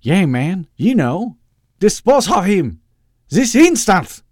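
A man's voice: sits high, sing-song, slow, darkish tone, no room sound, studio quality, pitched above the performer's own voice, full character voice. A man voicing an eager, insecure mad-scientist villain in an audiobook, rambling and theatrical, with a Southern accent that wanders into mock-Austrian. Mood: unsure, commanding. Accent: American South, wandering to mock-Austrian.

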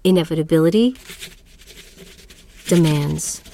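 A woman's voice: low voice, mellow. An actress playing a tormented, self-doubting woman artist in a theatrical, poetic spoken-word monologue. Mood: reflective. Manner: dramatic, poetic, deliberate.

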